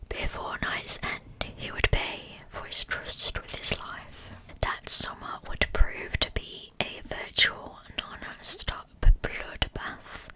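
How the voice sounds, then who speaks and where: A woman narrating a scripted story as ASMR in a breathy, hushed whisper, phrase by phrase. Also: low-quality recording. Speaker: ASMR host narrating true crime.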